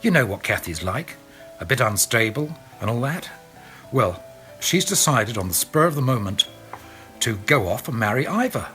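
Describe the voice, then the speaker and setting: A man's voice: mid-range voice, very animated, moderate pace, bright, forceful tone, faint music behind. A man voicing an awkward, apologetic Australian woman friend who stammers and hesitates as she delivers bad news. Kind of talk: audiobook character voice.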